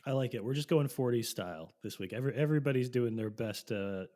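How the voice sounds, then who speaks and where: Man, podcast co-host, joking, swearing, voice rumbling from a cold, mid pitch. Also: quick pace, a little mellow. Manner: playful, jokey, swears casually. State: voice lowered by a long cold. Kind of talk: casual podcast chat.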